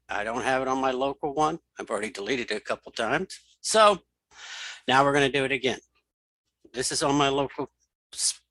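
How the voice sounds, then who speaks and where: A middle-aged man hosting a screen reader software tutorial, informally thinking aloud and repeating key steps. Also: medium pitch, dry recording. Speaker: tutorial host and web developer.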